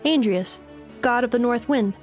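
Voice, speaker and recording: A woman's voice: fairly high pitch, moderate pace, faint music behind, close to an everyday voice. A woman voicing a polite, literal-minded android in a video game, speaking formally and with analytical precision.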